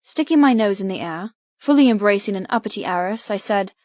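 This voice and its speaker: A woman's voice: mid-range voice, expressive, a little fast, darkish tone, no room sound. Woman narrating fiction, dry muffled sound. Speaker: audiobook narrator. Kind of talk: scripted first-person fiction narration.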